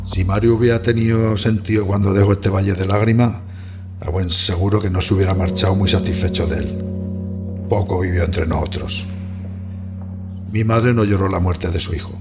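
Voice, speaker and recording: A man's voice: low-pitched, steady tone, moderate pace. An actor with a Spanish accent playing a bitter, solemn peasant who narrates his life confessionally in a radio drama. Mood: grave, bitter. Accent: Spanish, from Spain. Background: music.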